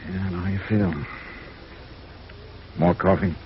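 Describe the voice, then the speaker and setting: A man's voice: low voice, flat delivery, measured pace, background noise. A male actor playing a world-weary, wry insurance investigator in a clipped, hard-boiled 1950s radio noir style. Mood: sympathetic, subdued. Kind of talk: 1950s radio noir acting, first-person narration. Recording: muffled vintage radio recording, faint music.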